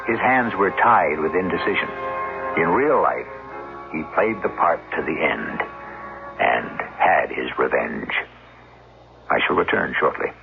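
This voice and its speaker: Guy hosting an old suspense show, gravelly, dramatic, narrow radio sound. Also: low voice. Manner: deliberate, dramatic, literary. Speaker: host and narrator. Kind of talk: scripted suspense anthology narration. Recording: narrow-band vintage radio with music. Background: music.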